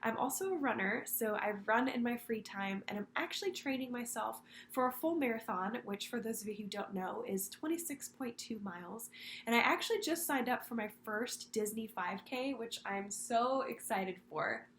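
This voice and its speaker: A young woman from Florida with a congested voice from a cold, earnestly telling her story with halting, repeated words. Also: a little high, expressive, fairly bright tone, slight background noise. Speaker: student candidate. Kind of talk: prepared personal video statement.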